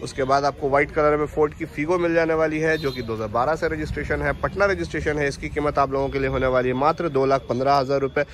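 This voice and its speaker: A man's voice: a little high, a little hiss or hum. Man rattling off used car prices. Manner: brisk, repetitive sales patter quoting prices. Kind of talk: promotional car listing narration.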